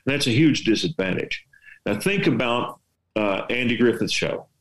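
A man's voice: mid-range voice, animated, normal speed, rough recording. Man, West Texas accent, folksy, rambling, rhetorical questions, storytelling advice. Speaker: veteran storyteller and artist giving writing advice. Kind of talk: casual advice chat.

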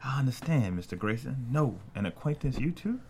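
A man's voice: medium pitch, lively intonation, a little fast, vocal fry, a little mellow, husky. A man playing a guarded, world-weary absent father in a screenplay table read, speaking in a terse, understated way. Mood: subdued, guarded.

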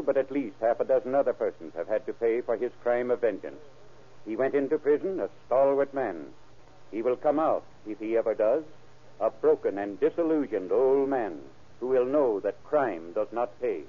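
A man's voice: mid-range voice, animated, normal speed. Sober man's formal address, tinny old radio. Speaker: sheriff giving a program foreword.

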